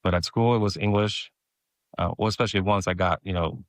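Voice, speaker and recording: A man's voice: deep voice, fairly even intonation, a little fast, dry recording. A middle-aged man from Mexico whose first language is Spanish, speaking as a guest and often hesitating and restarting as he tells his story. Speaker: podcast guest. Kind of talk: personal storytelling in conversation.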